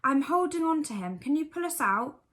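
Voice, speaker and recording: Storybook role: plucky, excitable bunny with a high squeaky voice, always eager performed by a woman. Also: normal speed. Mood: excited. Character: brave, eager, excitable toy rabbit. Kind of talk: bedtime storybook reading.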